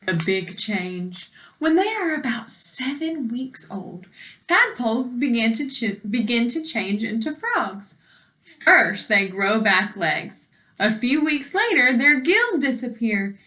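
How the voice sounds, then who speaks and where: A woman teacher with a bright, fairly high voice reads aloud for young children. She takes her time over the words and speaks in an instructive way, putting questions to her listeners. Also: sing-song, slow pace. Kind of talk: read-aloud lesson with commentary and questions.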